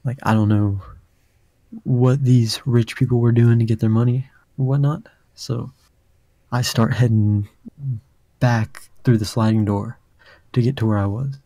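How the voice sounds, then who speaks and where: A young man with a deep voice telling a rambling story on a casual podcast, full of fillers. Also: steady tone, unhurried, pauses often, warm tone, no room sound. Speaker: podcast host.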